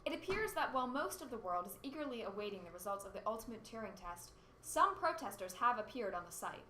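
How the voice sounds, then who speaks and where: A woman's voice: a little high, very animated, fast talker, bright, forceful tone. A woman playing a businesslike, blunt and impatient radio news anchor in an amateur radio play. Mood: newsy, composed. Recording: roomy echo, background noise and ambience.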